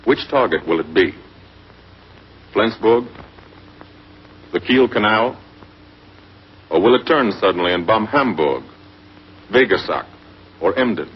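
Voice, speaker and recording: An American man narrating a scripted 1940s wartime propaganda film in measured, dramatic short phrases. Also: fairly low pitch, lively intonation, husky. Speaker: documentary narrator. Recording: muffled, noisy 1940s film soundtrack.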